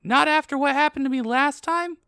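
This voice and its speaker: A woman acts out a teenage goth girl in a comic storybook reading. She is indignant and overdramatic. Her voice is highish, bright and crisp, recorded very dry. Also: a little fast, no room sound.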